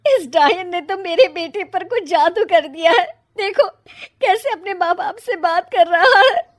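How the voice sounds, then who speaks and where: कहानी सुनाती महिला की ऊँची, तीखी, रौबदार सास. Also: female voice, extremely high pitch, lively intonation, measured pace, no room sound, clean studio sound, voice pushed up. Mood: hurt, wailing. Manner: scolding, indignant. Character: shrill, bossy middle-aged mother-in-law, quick to wail. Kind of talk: cartoon moral-story character voice.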